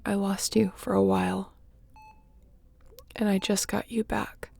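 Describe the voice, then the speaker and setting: A woman acts out a battle-worn female space captain in a sci-fi audio drama. Her voice is soft and mellow, heavily creaky at the edges. She speaks haltingly and earnestly, in a narrow, restrained melody, tender yet guarded. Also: medium pitch, little pitch movement, heavily acted. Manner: hushed, halting, emotionally earnest. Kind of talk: intimate audio roleplay acting.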